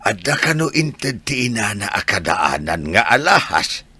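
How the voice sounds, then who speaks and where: Husky, animated gossiping village husband. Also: male voice, fairly low pitch, unhurried, full character voice. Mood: chatty. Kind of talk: Filipino radio comedy-drama acting.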